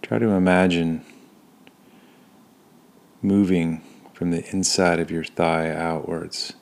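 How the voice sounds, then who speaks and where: A man leading a guided meditation in a calm, soothing manner, pausing between short phrases. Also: low voice, gravelly. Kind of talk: guided body scan meditation.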